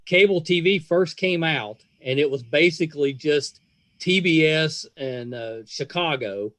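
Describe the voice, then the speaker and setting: Effusive middle-aged man interviewing, slightly high voice. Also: measured pace, fairly bright tone, no echo. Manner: effusive, repeats and trails off mid-phrase. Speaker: podcast interviewer. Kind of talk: casual interview chat.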